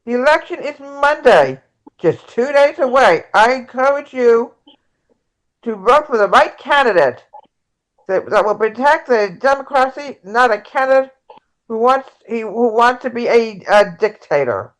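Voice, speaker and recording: A woman's voice: a little high, animated, poor audio. A woman playing an earnest, hopeful progressive presidential candidate in an amateur table read of a play. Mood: urging, earnest. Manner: halting script reading with stumbles.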